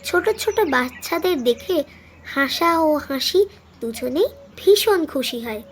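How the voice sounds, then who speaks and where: High, animated female story narrator. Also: measured pace, slight background noise. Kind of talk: scripted children's story narration.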